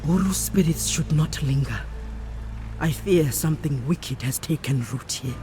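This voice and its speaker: A woman playing a proud, devout island priestess in a fantasy game, speaking with solemn, measured authority. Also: low-pitched, animated. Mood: measured, watchful. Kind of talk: fantasy game character acting. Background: music.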